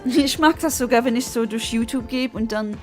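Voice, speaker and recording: A woman's voice: a little high, brisk, soft background music. A woman live-streaming a game with a non-native English accent, chatting casually with viewers and often laughing at her own mistakes, with lots of fillers and restarts. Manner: chatty and self-deprecating, many fillers and restarts. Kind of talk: casual live-stream chat while playing and answering chat.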